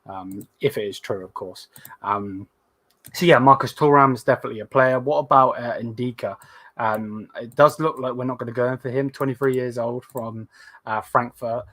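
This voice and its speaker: A man hosting a casual live stream, slightly hoarse, rambling with lots of hesitant fillers as he reads viewer comments. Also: mid-range voice, fairly even intonation, normal speed, a bit bright, no room sound. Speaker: host of a live fan stream.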